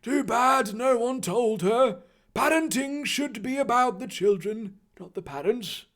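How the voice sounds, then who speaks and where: A man's voice: very high voice, lively intonation, measured pace, a bit bright, pitched above the performer's own voice, heavily acted. A man voicing a kindly old reverend with a posh English accent.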